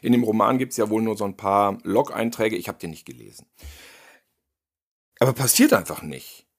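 A man's voice: fairly low pitch, moderate pace. A man co-hosting a horror film podcast, chatting casually and speaking straight to the listeners. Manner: lively, animated, addresses listeners directly. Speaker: podcast co-host. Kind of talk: casual film review chat.

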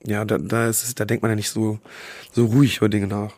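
A man who is sick and hoarse, co-hosting a podcast in casual chat, often halting to search for words. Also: on the low side, level tone, a little mellow. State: hoarse from a cold. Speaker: podcast co-host.

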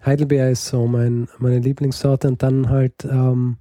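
Male podcast co-host, very dark mellow voice, hesitant and filler-heavy, dry close mic. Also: mid-range voice, flat delivery, measured pace, no room sound. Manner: hesitant, many fillers and restarts. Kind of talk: casual podcast chat.

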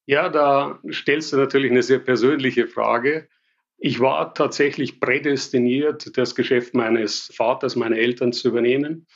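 Relaxed Bavarian man, slightly lo-fi. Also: medium pitch. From Bavaria, Germany. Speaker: interview guest, business executive. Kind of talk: casual interview answers.

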